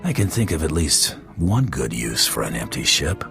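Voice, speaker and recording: An actor playing a gruff, cocky male bounty hunter in a video game, speaking in terse deadpan lines. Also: very deep voice, normal speed, over music, exaggerated voice. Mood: dry, teasing.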